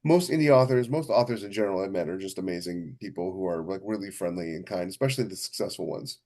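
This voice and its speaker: A man's voice: deep voice, fast talker, a little mellow. A man co-hosting a podcast in casual conversation, talking enthusiastically and emphatically and often repeating himself. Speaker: podcast co-host and science fiction author. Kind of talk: casual chat.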